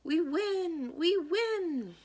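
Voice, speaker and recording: A woman voicing an urgent female youth baseball coach in a storybook, shouting commands and cheers. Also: very high voice, lively intonation, extremely slow, voice pushed up, exaggerated voice. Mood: excited.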